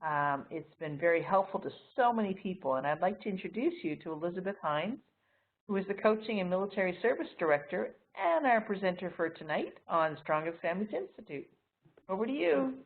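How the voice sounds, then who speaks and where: A woman host gives a short introduction for the presenter. Her voice is slightly low, and the sound is thin and phone-like. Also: sing-song, brisk. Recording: phone-like narrow audio.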